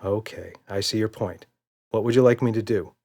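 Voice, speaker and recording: A man plays a confident father in a children's bedtime story. His voice sits fairly low. He is calm and reassuring, with a playfully boastful streak. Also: normal speed. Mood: patient.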